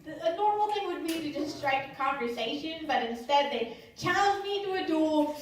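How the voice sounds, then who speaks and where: A woman comedian in her early thirties performs live stand-up in a high-pitched voice. She talks conversationally, pokes fun at herself and acts out little exchanges. The recording is heavily echoing and sounds well away from the microphone. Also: animated, normal speed, recorded from a distance. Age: early 30s. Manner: conversational, self-deprecating, acts out short dialogues.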